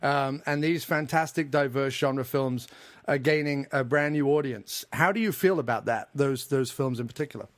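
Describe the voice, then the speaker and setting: A man's voice: medium pitch, moderate pace, no echo. A man from England with an English accent, hosting a podcast interview, polite and eager toward his guest. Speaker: podcast host.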